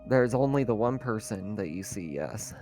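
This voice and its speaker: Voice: medium pitch, lively intonation, normal speed, over music. A game master explaining rules and outcomes conversationally while running a tabletop roleplaying game.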